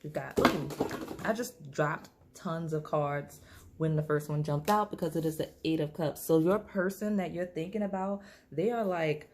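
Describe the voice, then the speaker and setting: Lowish slightly hoarse woman rambling thru a tarot reading, faint hiss behind. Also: moderate pace. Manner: chatty, filler-heavy, restarts, laughs. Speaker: tarot reader. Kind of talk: casual tarot reading to camera.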